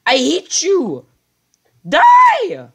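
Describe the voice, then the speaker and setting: A young woman chatting casually with a friend while livestreaming a game, playful and quick to react. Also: extremely high pitch, wildly swooping pitch, vocal fry, very noisy. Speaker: streamer playing a game. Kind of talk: casual gaming chat.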